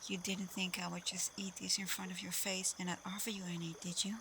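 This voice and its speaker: A woman playing a caring, protective, frank female werewolf pack leader in a soft, breathy, reassuring ASMR roleplay. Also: lowish voice, level tone, a little fast, slight background noise. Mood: caring, coaxing.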